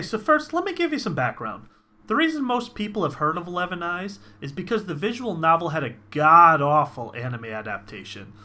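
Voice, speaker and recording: Guy doing an online review, higher pitched voice, really lively and up and down, emphatic and informal. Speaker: host of a visual novel review channel. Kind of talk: semi-scripted enthusiastic video review narration.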